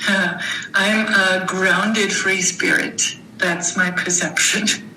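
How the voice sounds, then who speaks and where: A woman speaking as an interview guest, reflecting thoughtfully in halting phrases with pauses. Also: mid-range voice, level tone, bright, forceful tone. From Germany. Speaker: interview guest, author and coach. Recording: roomy echo, faint background noise.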